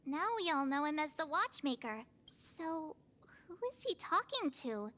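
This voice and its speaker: A woman voicing a bright, inquisitive young girl adventurer in a video game, with a curious, direct, expressive manner. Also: high-pitched, unhurried, heavily acted.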